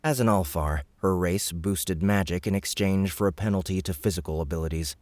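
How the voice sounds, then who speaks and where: Male narrator for fantasy fiction audiobook; deep register, dry studio sound, scripted storytelling. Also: brisk, darkish tone, dry recording.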